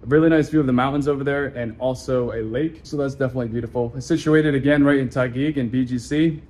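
A man's voice: medium pitch, slight background noise. A young man giving a casual, chatty walkthrough of an apartment, filmed on a handheld camera at arm's length indoors. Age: young adult. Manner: relaxed, chatty, loose phrasing. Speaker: vlogger touring a condo. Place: indoor apartment and building walkways.

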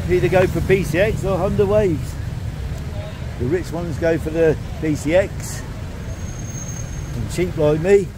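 Casting: older man, offhand chatty vlogger, voice a touch high, loud street traffic behind. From England. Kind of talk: casual walking vlog narration. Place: outdoors on a busy city street. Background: traffic noise.

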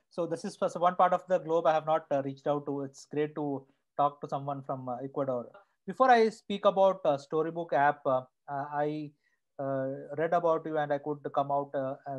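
A man in his thirties hosts an interview from home over a video call, talking through an earphone mic. His voice sits somewhat high, and his questions come out halting, broken up by constant "uh"s. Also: fairly even intonation, muffled recording. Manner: hesitant, many "uh" fillers. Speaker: host. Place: home room indoors.

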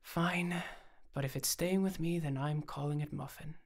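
A man's voice: on the high side, moderate pace, close to an everyday voice. A man voicing a weary, sardonic character in an audiobook, with dry and exasperated delivery. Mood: reluctant.

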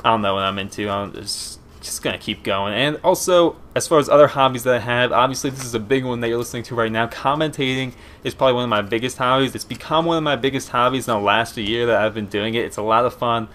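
A young man with a Philadelphia and Eastern Pennsylvania accent talks casually over gameplay from a small room at home. He rambles in an easygoing way, full of fillers and restarts, at a middle pitch. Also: fast, few pauses, a bit bright. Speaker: gaming commentator.

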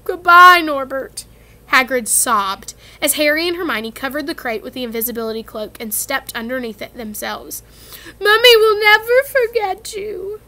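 A woman reading aloud, voicing a big, soft-hearted male gamekeeper who gets tearful. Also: on the high side, brisk, few pauses. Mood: sobbing.

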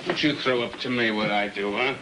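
A man playing a weary, evasive middle-aged American father in a 1950s film drama, speaking haltingly. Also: medium pitch, lightly acted. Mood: defensive, halting. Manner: halting, hesitant, with trailing tag questions. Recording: noisy old film soundtrack with clicks.

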